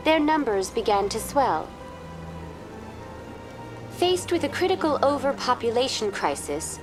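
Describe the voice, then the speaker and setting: A woman playing a polite, unflappable virtual tour guide program in a video game, speaking in a formal, measured, impersonal way. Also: sits high. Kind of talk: scripted informational narration in a video game.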